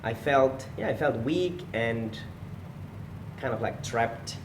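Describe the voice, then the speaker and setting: A man from Switzerland, a personal trainer telling his story as a guest in a relaxed interview recorded at a gym. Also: medium pitch, slow, roomy sound, recorded from a distance, a little hiss or hum. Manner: relaxed storytelling, slightly non-native phrasing. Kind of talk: casual podcast interview.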